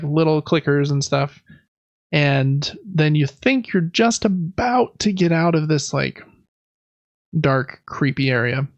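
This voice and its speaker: A man's voice: on the high side, no room sound, lo-fi sound. A man co-hosting a gaming podcast, chatting in an informal, meandering conversational way. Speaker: podcast co-host. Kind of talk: casual chat about video games.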